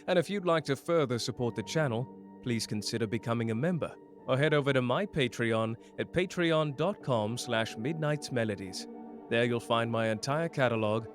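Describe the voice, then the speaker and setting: Man reading a calm channel outro. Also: medium pitch, normal speed. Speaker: channel creator. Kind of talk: scripted outro. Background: music.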